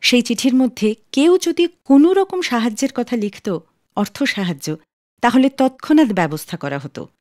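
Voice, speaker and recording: A woman hosting a radio show, reading a scripted narration in an expressive, storytelling way. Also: a little high, moderate pace, dry recording, clean studio sound. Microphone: close studio microphone. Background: soft music.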